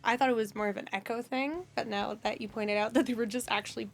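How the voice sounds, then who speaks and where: A woman's voice: on the high side, steady tone, fast talker, vocal fry. A woman in her late twenties, reacting to music with her husband in a playful, teasing, giggly way. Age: late 20s. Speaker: host's wife, guest listener. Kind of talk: casual reaction chat. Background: faint music playing.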